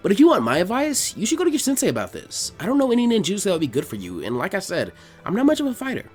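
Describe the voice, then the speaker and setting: A man voicing a supportive, gently teasing young woman who gives encouraging advice in a story reading. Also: high voice, wildly swooping pitch, very fast, soft background music.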